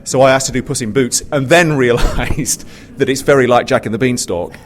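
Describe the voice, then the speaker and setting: A man's voice: medium pitch, fairly quick. A British man speaking informally on a panel, in a conversational, stop-start way with repeated words. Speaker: panelist, pantomime writer and director. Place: live panel in a theatre. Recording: faint background noise, live event.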